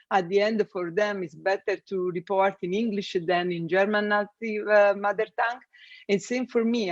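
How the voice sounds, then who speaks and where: A man's voice: sits high, no echo, dull audio. A man from Italy with an Italian accent, answering interview questions in hesitant non-native English with many fillers. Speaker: guest, space systems engineer.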